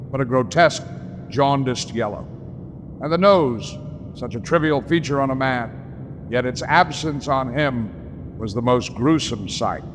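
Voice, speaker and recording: A man's voice: mid-range voice, close to an everyday voice. An actor playing a tormented man who recounts seeing a phantom, in a florid, dramatic gothic monologue. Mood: horrified disgust. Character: tormented theatre storyteller, horrified witness of a phantom. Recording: reverberant hall with clear background ambience noise.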